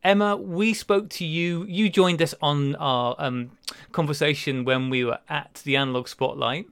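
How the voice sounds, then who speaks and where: A man's voice: fairly high pitch, fairly even intonation, normal speed, a bit bright, clear, resonant tone, dry recording. A man co-hosting a casual video-call podcast, teasing guests and laughing often. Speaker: podcast co-host. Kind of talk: casual chat.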